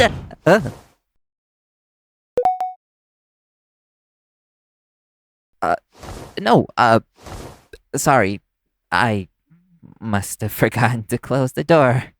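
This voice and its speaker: A man acting in an audio roleplay as a nervous, caring young vampire's human partner, speaking in a halting, stammering way. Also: fairly low pitch, gravelly, dry recording, exaggerated voice. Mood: jumpy, apologetic.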